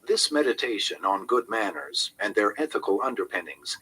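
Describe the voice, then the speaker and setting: A man reading scripted audiobook narration in a measured, articulate way with acted emphasis. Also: lowish voice, very animated, pushed and bright, slightly rough, poor audio.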